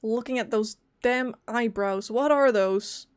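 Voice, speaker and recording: A woman streaming a video game, giving casual, expressive and irreverent reaction commentary. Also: fairly high pitch, unhurried, smooth. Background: faint game music.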